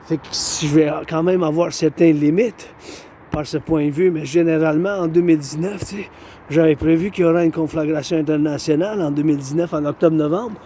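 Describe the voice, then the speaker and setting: Québécois man, warm higher voice, repetitive outdoor monologue, light traffic behind. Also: fairly even intonation, brisk. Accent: Québécois French. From Quebec. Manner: repetitive, circling back to phrases. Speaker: vlogger. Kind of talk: rambling monologue to camera.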